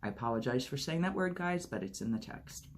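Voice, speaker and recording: A man reading a memoir aloud as a storytime host, steady narration with lightly acted dialogue. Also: mid-range voice, a little fast. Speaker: audiobook reader.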